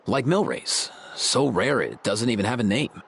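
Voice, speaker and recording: A man's voice: medium pitch, very animated, normal speed, vocal fry, slight background noise. A man voicing a laid-back, friendly young vet in a video game, talking in casual bro slang. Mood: relaxed, earnest. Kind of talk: video game character dialogue.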